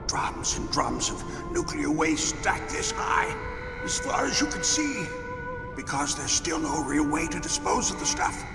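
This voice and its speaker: A battered, gravelly captive executive. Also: male voice, fairly high pitch, wildly swooping pitch, a little slow, exaggerated voice. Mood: grave, lecturing. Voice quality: gravelly, strained. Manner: weary, bitter, explains at length with dramatic emphasis. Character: battered, cynical male arms-company boss held captive. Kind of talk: dramatic video game voice acting. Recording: echoey hall reverb under loud music.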